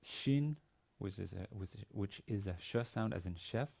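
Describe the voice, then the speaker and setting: A man in his forties, a native Danish speaker with a Danish accent, giving an instructional lecture in a halting, hesitant manner with repeated words. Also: on the low side, lively intonation, vocal fry, darkish tone. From Denmark. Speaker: university language teacher. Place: indoor studio with plain grey backdrop.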